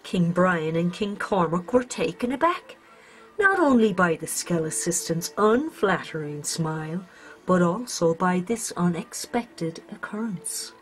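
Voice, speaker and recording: A woman's voice: lowish voice, animated, measured pace, poor audio. A woman with an Irish accent narrating a scripted children's storybook. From Ireland. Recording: music under the voice.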